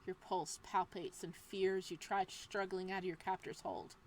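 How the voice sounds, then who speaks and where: A woman's voice: on the low side, level tone, normal speed, a little mellow, a little hiss or hum. Playful woman reading a visual novel. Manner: playful asides between narration. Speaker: game streamer.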